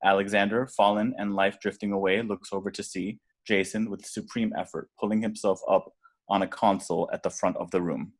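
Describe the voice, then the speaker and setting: A man with a low, deep voice narrates action from a screenplay. He reads deliberately and dramatically, as the stage directions reader of a table read. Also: fairly even intonation, breathy edge.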